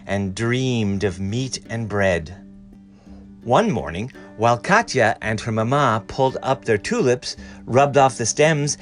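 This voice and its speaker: A man reading a storybook aloud in an expressive, dramatic and deliberate way. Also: medium pitch. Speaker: story reader.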